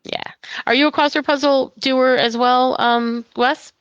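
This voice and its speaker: A woman's voice: high voice, steady tone, unhurried, fairly bright tone, clean tone, no echo, muffled recording. Chatty, enthusiastic woman host. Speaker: livestream host. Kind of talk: casual livestream chat.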